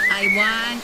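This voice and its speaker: A woman voicing a fussy shopkeeper in her forties who stumbles through broken English and snaps back in Tagalog. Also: very noisy. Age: 40s. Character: fussy fortyish woman shopkeeper chasing foreign suitors. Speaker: sari-sari store owner. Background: loud background music.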